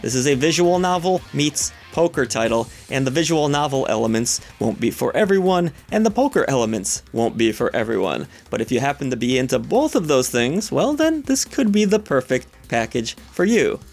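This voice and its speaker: A man narrating a scripted video game review in a measured, lightly wry, opinionated way. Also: medium pitch, sing-song, a little mellow, faint music behind.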